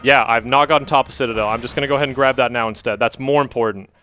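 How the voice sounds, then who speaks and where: A man's voice: mid-range voice, steady tone, racing, pushed and bright, soft background music. A man from Denmark giving casual, chatty live commentary as a speedrunner, with an easygoing manner.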